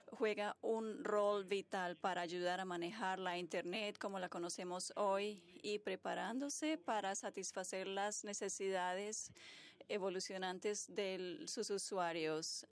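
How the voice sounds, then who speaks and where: Female live interpreter, medium pitch, formal delivery in measured phrase-by-phrase chunks. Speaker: simultaneous interpreter.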